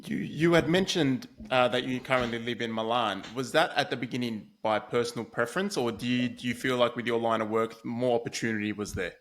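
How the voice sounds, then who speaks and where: A man hosting a podcast, asking questions in a relaxed, conversational way. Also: medium pitch, brisk, few pauses. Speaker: podcast host. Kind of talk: podcast interview.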